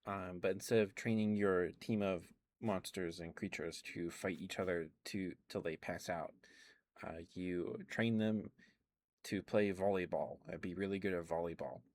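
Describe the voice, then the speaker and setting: Very deep-voiced man rambling softly. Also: dry recording, clean studio sound. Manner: rambling, many ums and uhs; relaxed, quiet and unhurried. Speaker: ASMR streamer. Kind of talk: soft-spoken ASMR rambling about games.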